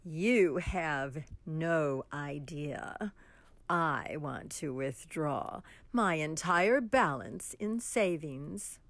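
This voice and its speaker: A woman's voice: low voice, expressive, extremely slow, no echo, exaggerated voice. A woman playing Ellen, taunting vengeful witch. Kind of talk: radio drama acting.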